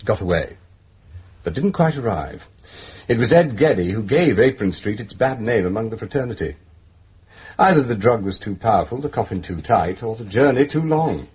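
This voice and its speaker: An actor playing an urbane gentleman detective with an educated English accent in a radio drama, musing and dryly understated. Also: fairly low pitch, animated, moderate pace, slightly rough. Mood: musing, knowing. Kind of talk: British radio drama acting. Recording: dull, muffled radio recording.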